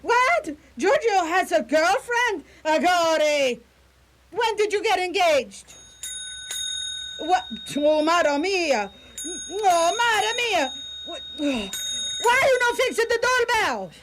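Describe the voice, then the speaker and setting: A woman playing a bossy, excitable Italian mother in a radio play, full of exclamations. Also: very high voice, slow pace, a little hiss or hum, heavily acted. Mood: shocked, exasperated. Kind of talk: community radio theatre acting.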